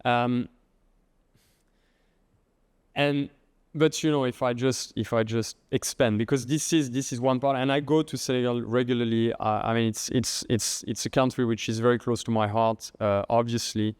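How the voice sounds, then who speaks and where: Middle-aged man with a French accent, podcast guest, smooth resonant voice, dry close sound, restarts his phrases often. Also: medium pitch, fairly even intonation, darkish tone, no echo. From France. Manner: halting, many restarts and repeated words. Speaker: guest, head of treasury and trading. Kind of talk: podcast interview.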